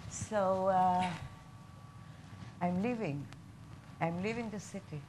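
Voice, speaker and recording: A woman's voice: mid-range voice, slow pace, a little mellow, a little hiss or hum. A woman from Israel, speaking as a tour guide in an apologetic, chatty, confiding way. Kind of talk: stage monologue.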